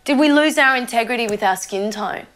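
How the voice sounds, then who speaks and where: A woman's voice: on the high side, fairly quick, a bit bright, natural voice. An actress playing an opinionated young female zombie with a conscience, ranting conversationally and swearing freely in an Australian accent, as if interviewed for a mockumentary. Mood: fired-up indignation. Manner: sweary, indignant, conversational rambling.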